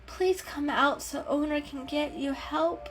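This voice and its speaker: Woman as a skeptical, high-pitched cat sidekick. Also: steady tone, measured pace, slight background noise. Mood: pleading. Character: skeptical, exasperated but kindly female cat sidekick.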